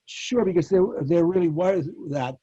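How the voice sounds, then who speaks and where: An older man reminiscing casually as a panel guest, telling stories in a halting, rambling way over an online call. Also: a little high, dark tone, breathy edge, muffled recording. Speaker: panel guest, former child radio actor. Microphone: video call.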